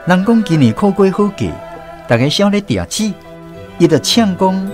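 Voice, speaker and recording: Animated Taiwanese male storyteller, slightly high. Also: normal speed, over music. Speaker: drama narrator. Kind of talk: first-person scripted storytelling for radio drama.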